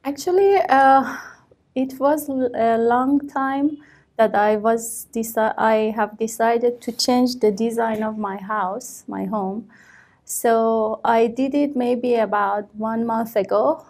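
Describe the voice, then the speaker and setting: A woman's voice: fairly high pitch, steady tone, takes it slowly, a little mellow. A woman in her thirties from Iran with an Iranian accent, answering exam questions with many fillers and restarts in a small office. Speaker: test candidate. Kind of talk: mock speaking exam answers.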